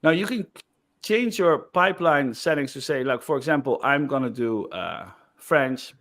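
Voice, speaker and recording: A man giving a casual screen-share demo of software, loose and chatty with frequent fillers. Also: medium pitch, animated, normal speed. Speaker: software developer presenting.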